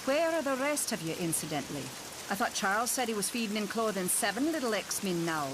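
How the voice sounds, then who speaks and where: Casting: actress as middle-aged female doctor, brisk and cutting, mid pitch, hissy noisy recording. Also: animated, lightly acted. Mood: acerbic. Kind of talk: motion comic voice acting.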